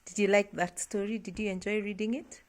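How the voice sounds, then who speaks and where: A woman's voice: mid-range voice, steady tone, a little fast. A woman speaking casually as a parent teaching at home, prompting and asking questions in an attentive, encouraging way. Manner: attentive, encouraging, often murmuring agreement.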